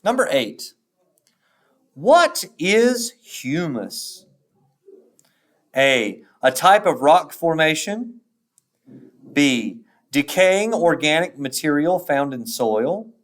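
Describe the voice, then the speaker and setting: A man speaking as a teacher, reading test questions aloud in a deliberate, instructional way with pauses between the choices. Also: on the high side, very animated, fairly bright tone.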